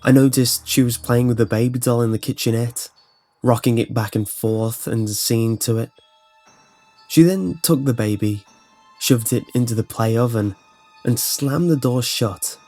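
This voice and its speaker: A man's voice: mid-range voice, moderate pace, mellow. Man narrating creepy stories over music. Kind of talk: scripted horror narration, reading first-person anecdotes.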